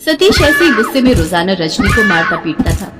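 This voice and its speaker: A woman's voice: medium pitch, very animated, brisk, raised voice, big-room sound, loud music behind the voice. A woman narrating a scripted dramatic story.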